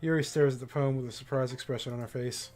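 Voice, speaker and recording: A man's voice: mid-range voice, fairly even intonation, fast, darkish tone. A man livestreaming a visual novel, casually commenting and reading the game text aloud, with game music behind him.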